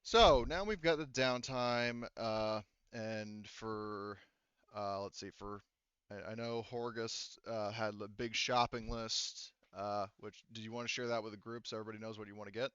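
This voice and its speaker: Low-pitched man, game master, halting casual narration, self-correcting, dry close sound, bright tone. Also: fairly even intonation, smooth, no room sound, dull audio. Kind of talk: casual tabletop roleplay narration.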